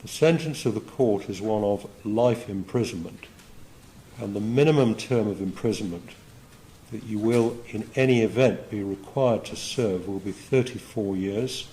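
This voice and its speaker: A man speaking as a judge, delivering formal sentencing remarks in measured, broken phrases. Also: fairly low pitch, dark tone, a little hiss or hum, low-quality recording. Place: courtroom.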